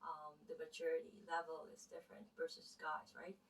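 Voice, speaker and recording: A woman with a Filipino accent talks through everyday faith and relationship advice in a loose, rambling way, often tagging on a quick "right?". Her voice sits on the lower side and is picked up from some distance from the mic, so it sounds a little remote. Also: flat delivery, a little hiss or hum. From Philippines. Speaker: vlogger. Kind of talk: casual spiritual advice talk.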